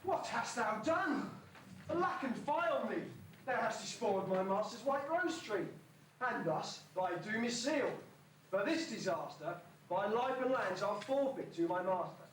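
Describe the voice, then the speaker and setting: Bloke doing pompous loyal servant in a panto, old thee-thou talk, slow, echoey far off recording. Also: male voice, high-pitched, animated, slight background noise. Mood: grave alarm. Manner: grand, declamatory, archaic thee-and-thou speech. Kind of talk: amateur pantomime stage acting in rhyming verse. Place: school hall stage. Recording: echoey distant hall recording.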